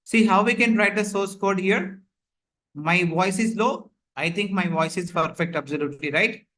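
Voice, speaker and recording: A man's voice: sits high, normal speed, pushed and bright, slight background noise. A man teaching a live online class over a video call, explaining in a repetitive way full of fillers. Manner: repetitive, filler-heavy explaining with frequent "like, you know". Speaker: instructor. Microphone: computer mic on a video call.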